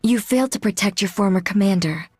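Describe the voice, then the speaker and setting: Husky, earnest young gunner woman, acted. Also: medium pitch, fast, darkish tone, no room sound, clean studio sound, close to an everyday voice. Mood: stern. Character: earnest young female android machine gunner, loyal and protective. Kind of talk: English video game voice acting.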